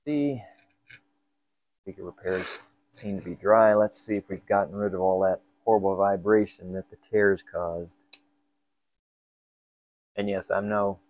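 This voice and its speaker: Male hobby repair host: lowish, soft-edged mellow voice, informal, talking to himself at the workbench. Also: unhurried, long pauses, low-quality recording. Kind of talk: casual hands-on repair narration. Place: indoor workshop at a workbench.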